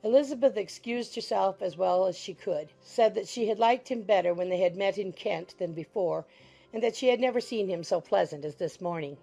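A woman narrating a classic novel as an audiobook in an even, composed storytelling manner. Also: mid-range voice, normal speed, vocal fry, soft background music, poor audio.

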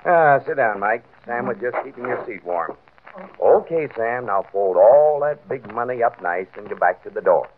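An actor playing a bossy, mocking big-shot gambler in an old-time radio crime drama, with an offhand, commanding way of talking. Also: male voice, mid-range voice, lively intonation, normal speed, slight background noise, close to an everyday voice. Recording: vintage radio, narrow phone-like band.

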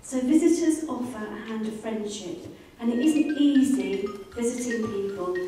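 Woman, measured public speaker, mid pitch, slightly distant mic, heavy room echo. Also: dark tone, faint music behind. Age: middle-aged. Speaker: charity representative, speaker. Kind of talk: prepared public talk. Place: indoor library event space with audience. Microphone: handheld microphone. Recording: very echoey room, distant, faint noise.

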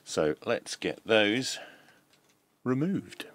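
A man presenting a hands-on electronics tutorial, explaining step by step in a measured way over background music. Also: fairly low pitch, very animated, a bit bright. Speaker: host of an electronics teardown channel.

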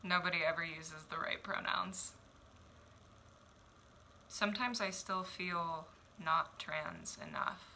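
A woman in her twenties from Oregon performing spoken word poetry at home, measured and reflective, with deliberate pauses. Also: fairly low pitch, fairly even intonation, bright, forceful tone, slight background noise. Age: 20s. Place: small home room indoors. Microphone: headphones and webcam.

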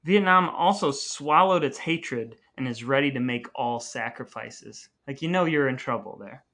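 A man's voice: medium pitch, moderate pace, bright, forceful tone. A man co-hosting a podcast with casual, playful banter and dry humour. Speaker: podcast co-host.

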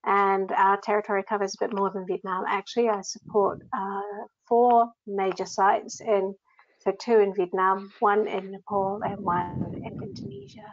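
A woman's voice: medium pitch, moderate pace, slight background noise. A woman with a New Zealand accent, a grants manager giving a conversational webinar talk over a video call. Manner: conversational, with frequent ums. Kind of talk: presenting slides in a webinar. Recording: slightly dull video call audio.

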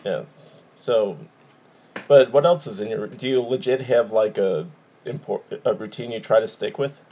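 A man's voice: lowish voice, a little slow, dark tone. Laid-back man chatting on a video call. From Wisconsin. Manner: laid-back, chuckling, rambling. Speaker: podcast host. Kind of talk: casual chat over video call. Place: living room at home.

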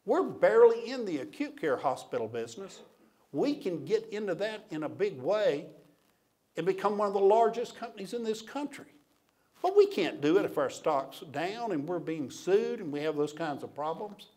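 A man acting out a domineering, irate company boss who bullies and persuades in a forceful, insistent way. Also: high voice, sing-song, normal speed, pitched above the performer's own voice. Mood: persuading. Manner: forceful, scolding, pleading.

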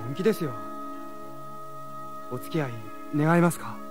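A man as cocky teenage boy, animated delivery. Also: on the high side, normal speed, over music, lightly acted. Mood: gentle, cocky. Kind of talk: Japanese audio drama acting.

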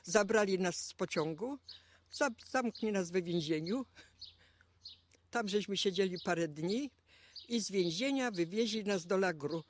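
An older woman from Poland giving an oral history interview, halting and repeating words as she searches for them. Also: mid-range voice, animated, a little hiss or hum.

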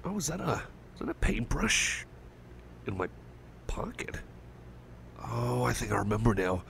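A man speaking a gentle ASMR roleplay as a caring cyborg friend, rambling and stammering, reassuring and soft. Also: mid-range voice, very animated, a little slow, creaky, slight background noise.

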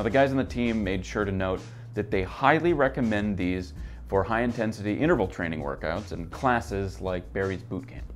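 Host, man, 30s: brisk, low-voiced news read from a desk, faint music behind. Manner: brisk, upbeat presenter reading from notes. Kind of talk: scripted product news roundup. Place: office with shelves, seated at a desk.

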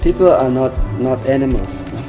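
An older man gives an earnest, halting interview. His pitch is middling and music plays loudly behind him. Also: lively intonation. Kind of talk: documentary interview. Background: loud music.

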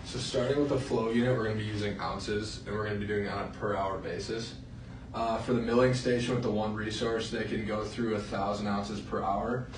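Flat-voiced young man presenting a project. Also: on the low side. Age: young adult. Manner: flat, halting delivery with fillers. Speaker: student presenter. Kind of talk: class project narration. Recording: roomy, distant mic, faint noise.

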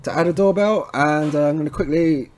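A man's voice: fairly high pitch, brisk, darkish tone. Man giving a casual how-to walkthrough. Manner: informal, addresses viewers as guys, frequent tag okay. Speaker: tutorial presenter, installer.

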